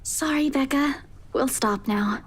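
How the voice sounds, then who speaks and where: A woman's voice: high voice, slow. A woman voicing an apologetic, easygoing young friend in a visual novel game.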